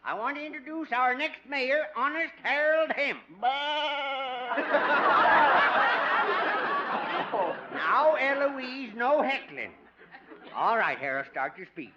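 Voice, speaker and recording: A man's voice: extremely high pitch, very animated, a little slow, very noisy, exaggerated voice. An actor playing a folksy older male veterinarian who jokes slyly, in a 1950 radio comedy. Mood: bossy. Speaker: supporting actor. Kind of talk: 1950s radio comedy acting. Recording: dull restored 1950 radio recording.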